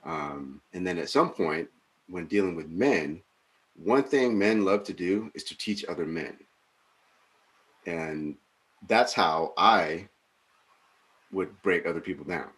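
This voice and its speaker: A man in his forties co-hosting a podcast, talking casually and reflectively, with many pauses and fillers. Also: low-pitched, lively intonation, fairly bright tone. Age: 40s. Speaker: podcast co-host. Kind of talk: casual conversation.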